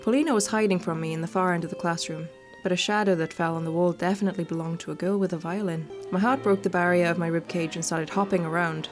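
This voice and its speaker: Female gaming host, slightly low voice, chatty and playful, informal let's-play delivery and read-alouds, light music underneath. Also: steady tone, fast talker, hardly any pauses. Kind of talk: casual let's-play commentary and reading game text aloud. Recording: music playing behind the voice. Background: background music.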